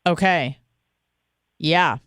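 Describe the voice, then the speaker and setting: Woman radio host, smooth clear voice, dry close studio sound, rambling and profane. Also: low voice, drawn-out words, fairly bright tone, no echo. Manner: rambling, profane, frequent fillers. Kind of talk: casual call-in radio talk.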